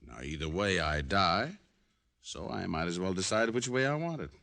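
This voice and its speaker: An actor playing a wry, cool-headed hard-boiled private detective in an old radio crime drama. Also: male voice, deep voice, lively intonation, normal speed, creaky, sharp tone, dry recording, full character voice. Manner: wry, sardonic, breezy. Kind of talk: 1940s-50s radio detective acting, dialogue and narration. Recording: old radio broadcast recording.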